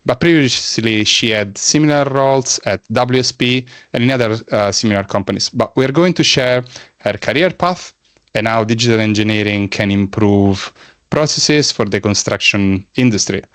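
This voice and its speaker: A man's voice: medium pitch, no room sound. A man from Italy with an Italian accent, hosting a podcast interview with enthusiastic, friendly questions. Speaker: podcast host. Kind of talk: casual interview chat.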